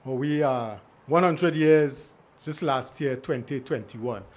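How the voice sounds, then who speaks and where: A man's voice: medium pitch, slow pace. A middle-aged man from Trinidad and Tobago with a Trinidadian accent, giving a conversational keynote presentation at a conference. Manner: conversational, talking to the audience, asks the room questions. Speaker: invited speaker.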